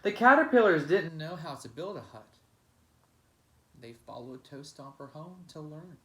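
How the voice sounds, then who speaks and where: A man's voice: on the high side, sing-song, unhurried, rough recording. A man reading a children's picture book aloud as a teacher, with dramatic, emphatic narration. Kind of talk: expressive storybook narration.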